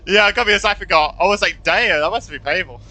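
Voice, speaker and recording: A man's voice: sits high, fast, bright, forceful tone. A young man giving casual live reaction commentary as a gaming host, with slangy, muttered asides.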